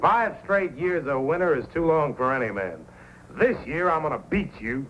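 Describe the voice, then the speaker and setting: An actor playing a gruff, boastful, mean-tempered dog racer in an old-time radio drama, combative and sneering. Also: male voice, a little high, lively intonation, moderate pace, a bit bright. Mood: gruff boasting. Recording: muffled, noisy old radio recording.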